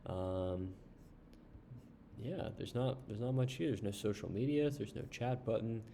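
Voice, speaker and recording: A man casually reviewing an online service while browsing its pages, unhurried, with frequent ums and uhs. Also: lowish voice, creaky, dark tone, a little hiss or hum. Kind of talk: casual screen-share review.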